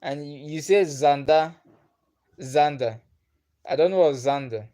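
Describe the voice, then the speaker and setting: A man's voice: a little high, a little slow, warm tone. Man chatting on a livestream. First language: Igbo. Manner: patient, repeats himself, chuckles often. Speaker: livestream host. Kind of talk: casual livestream chat reading comments.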